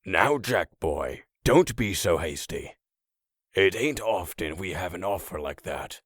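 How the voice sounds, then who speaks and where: A man plays a jovial, wheedling wino in a comic dub. His low voice rises and falls widely, close and dry. He coaxes in a folksy, drawn-out way. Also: expressive, no room sound. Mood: coaxing.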